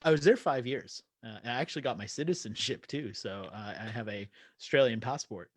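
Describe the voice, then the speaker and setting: Male interview guest, mid-pitched, casual storyteller, dry recording. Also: fairly quick, no echo. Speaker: interview guest, author and speaker.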